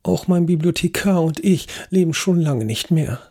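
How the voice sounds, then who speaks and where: A man voicing Lady Agatha, a haughty elderly English lady who is a ghost, in a high, prim voice. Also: moderate pace, mellow. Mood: sympathetic.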